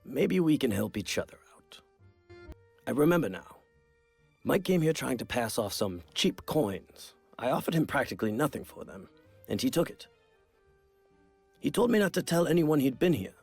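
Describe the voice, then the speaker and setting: A man playing a gruff, shrewd trader in a game cutscene, with a gravelly, husky voice and an expressive bargaining delivery. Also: medium pitch, normal speed, pauses often, no echo, soft background music, heavily acted. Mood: shrewd, matter-of-fact. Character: gruff shrewd male shopkeeper trading coins and trinkets. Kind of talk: video game cutscene acting.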